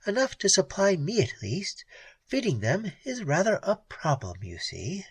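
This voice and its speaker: A man reading aloud and voicing a cool, mocking female space pirate queen in a softer, higher voice. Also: wildly swooping pitch, a little slow, slightly rough, dry recording, exaggerated voice. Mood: sweetly mocking. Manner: sweet, taunting, softly menacing.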